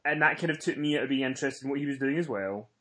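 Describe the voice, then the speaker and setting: A man's voice: medium pitch, racing, fairly bright tone. A man co-hosting a casual review show, talking in an emphatic, effusive, informal way as a fan. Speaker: podcast co-host reviewing wrestling. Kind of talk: casual chat, fan commentary.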